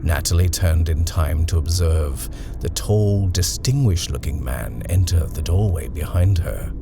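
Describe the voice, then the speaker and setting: A man reading a horror story aloud in a measured, expressive narration. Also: rumbling low, gravelly. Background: music.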